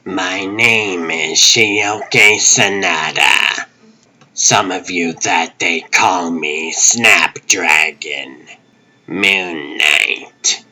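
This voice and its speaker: Guy putting on sinister comic crime villain, low n husky, calm menace. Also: heavily acted. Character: sinister, composed underworld villain Snapdragon introducing themself slowly.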